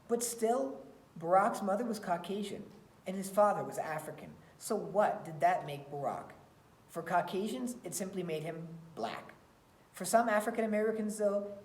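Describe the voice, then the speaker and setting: A woman reading a children's picture book aloud in an expressive storytelling style. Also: medium pitch. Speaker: read-aloud reader. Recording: roomy, echoey sound.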